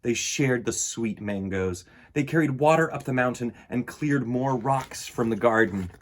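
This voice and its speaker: A middle-aged man with a husky voice, hosting a children's storytime in an expressive, theatrical way. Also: mid-range voice, unhurried, slight background noise. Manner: theatrical, varied delivery addressed to young listeners. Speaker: children's storytime host and reader. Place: indoor room lined with bookshelves.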